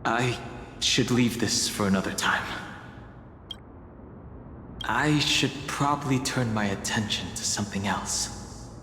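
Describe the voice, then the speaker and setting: An actor playing a calm, analytical young traveler in a fantasy video game, speaking in a measured, contemplative way. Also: male voice, medium pitch, a little hiss or hum. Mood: pensive. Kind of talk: English-voiced fantasy game dialogue and monologue. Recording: echoey reverb, music underneath.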